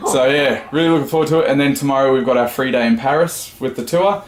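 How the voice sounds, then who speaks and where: A man casually narrating his travel vlog into a handheld camera, relaxed and chatty, moving between buses, a ferry and a small room. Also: medium pitch, fast talker. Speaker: vlogger. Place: on the move: bus, ferry, small hotel room. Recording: busy crowd and noise behind.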